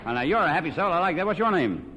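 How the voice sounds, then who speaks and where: A man's voice: fairly high pitch, big pitch swings, very fast, sharp tone, some chatter behind. A man hosting an old-time radio quiz, jovial and teasing, often repeating answers back to his contestants. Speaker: quiz show host. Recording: dull, noisy old radio recording, hall reverb.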